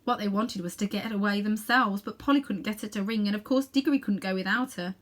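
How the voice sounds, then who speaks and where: A woman's voice: medium pitch, fast talker. A woman narrating a children's novel expressively as a storybook reader.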